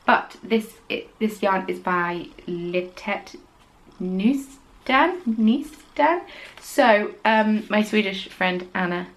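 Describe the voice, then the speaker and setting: A young woman hosting a podcast, chatting casually about her knitting in a rambling way and dropping into quiet, whispered asides. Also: medium pitch, lively intonation, takes it slowly, low-quality recording. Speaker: podcast host.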